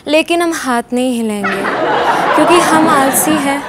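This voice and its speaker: A man's voice: very high voice, expressive, normal speed, over a noisy crowd, heavy background noise, voice pushed up. A man putting on a grumpy lazy air hostess. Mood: grumpy, sarcastic. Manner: sarcastic flight announcement. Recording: loud studio audience laughter. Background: audience laughter.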